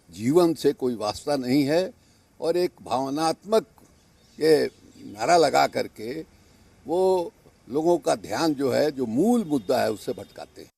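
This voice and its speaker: A man giving a political soundbite as a politician, deliberately listing points in short phrases. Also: medium pitch, gravelly, a little mellow, husky, no echo.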